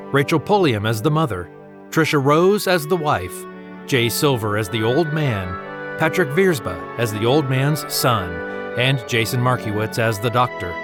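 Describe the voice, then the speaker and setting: A man narrating a story from a script in a measured storytelling manner. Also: mid-range voice. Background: music.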